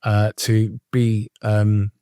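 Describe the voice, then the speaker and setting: A man's voice: on the low side, monotone, very slow, clear, resonant tone, no room sound, clean studio sound. Chatty man hosting an interview. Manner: warm and chatty, filler-heavy, asks long questions. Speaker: interview host, former journalist.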